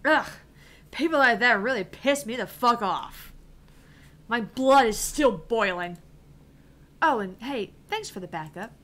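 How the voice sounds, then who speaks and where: A woman's voice: on the high side, lively intonation, measured pace, long pauses, a bit bright. A woman voicing a brash, fiery young female gamer with a theatrical, foul-mouthed manner, reading out a visual novel game. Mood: frustrated, subdued.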